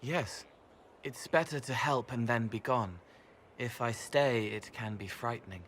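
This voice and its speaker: An actor playing a gentle young male spirit who talks in soft, halting, dreamy fragments. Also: medium pitch, slight background noise, lightly acted.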